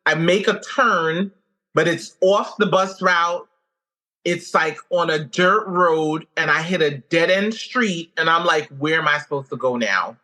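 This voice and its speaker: A middle-aged woman from Guyana, a comedian guest telling her story in a lively, conversational interview manner. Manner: animated, wide pitch swings when quoting herself.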